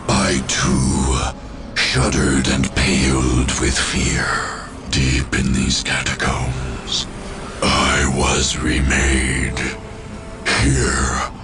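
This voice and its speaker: Abyssally deep undead machine lord. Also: male voice, full character voice. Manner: slow, ominous, grandiose. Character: ancient undead machine lord, cold, solemn, menacing. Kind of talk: video game cutscene monologue. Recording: loud music behind the voice. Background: loud game score.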